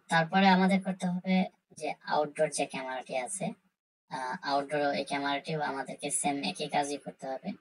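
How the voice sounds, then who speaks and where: A woman giving an informal technical tutorial, explaining step by step in a halting, repetitive way. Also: rumbling low, gravelly, slightly rough, poor audio. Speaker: tutorial host.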